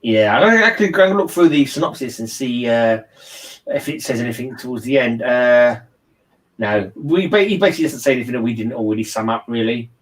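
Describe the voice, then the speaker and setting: Male host, medium-pitched voice, informal book chat that loops back over its own phrases. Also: expressive, a little fast, distant mic, rough recording. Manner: rambling, repeats phrases. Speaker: host of a livestream read-along channel. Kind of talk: casual book discussion.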